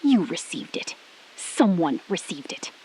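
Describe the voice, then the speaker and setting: A woman playing a calm, resolute astronaut-scientist in an audio drama, speaking in precise, measured, clipped sentences. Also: lowish voice, breathy edge, full character voice, low-quality recording. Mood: firm, measured. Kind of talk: scripted audio drama acting.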